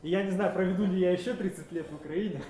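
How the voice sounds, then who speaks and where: Hesitant interpreter, mellow voice, echoey hall. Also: male voice, high voice, fast talker, slight background noise. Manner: hesitant, with filler sounds and self-corrections. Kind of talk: live consecutive interpreting of a sermon. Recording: roomy hall with faint ambience.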